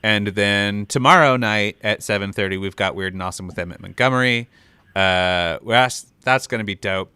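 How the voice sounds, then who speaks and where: A man's voice: fairly low pitch, moderate pace, pushed and bright, smooth, no room sound. A man hosting a live stream, making casual announcements in an upbeat, slangy, informal way. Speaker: stream host announcing upcoming shows.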